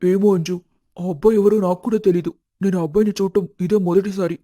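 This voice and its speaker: A man voicing a worried young husband in an animated moral story, polite and serious. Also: sits high, fast, no echo, clean studio sound. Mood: puzzled, serious.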